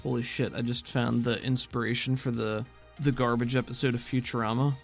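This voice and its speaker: A man's voice: medium pitch, level tone, brisk, vocal fry, faint music behind. A man talking casually as a podcast co-host and game master.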